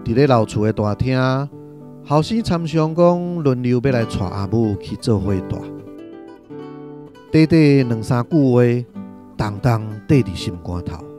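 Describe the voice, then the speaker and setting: A man's voice: mid-range voice, expressive, a little slow. A man with a Taiwanese accent narrating a literary radio drama as a scripted storyteller. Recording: music behind the narration.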